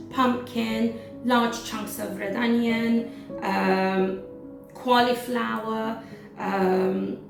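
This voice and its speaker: Slightly hoarse woman chatting while cooking. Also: medium pitch. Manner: chatty, with many "um" fillers. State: slightly hoarse from losing her voice. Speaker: cooking vlogger. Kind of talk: casual cooking demonstration. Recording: echoey kitchen, mic at a distance, background music.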